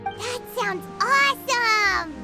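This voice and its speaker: A woman voicing a small, childlike, eager female floating companion in an anime game, with a squeaky voice. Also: takes it slowly, over loud music, exaggerated voice. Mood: excited. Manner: refers to self in third person, exclamatory.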